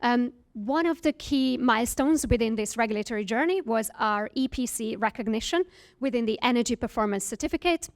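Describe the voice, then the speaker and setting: A woman's voice: on the high side, brisk. Woman presenting earnestly. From Hungary. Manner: earnest, emphatic, with frequent uh and um fillers. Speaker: startup founder giving a talk. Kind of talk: presentation to an audience.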